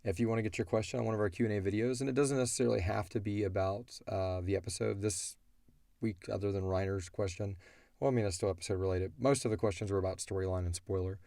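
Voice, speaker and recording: Deep, mellow middle-aged man, casual. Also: steady tone, fast, creaky, no echo, clean studio sound. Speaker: audio drama creator and show host. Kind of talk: casual Q&A chat about a show.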